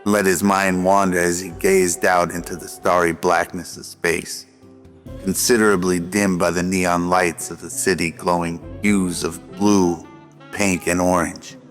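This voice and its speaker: A man's voice: sits low, flat delivery, a little slow. A man narrating a scripted noir radio drama. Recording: music behind the voice.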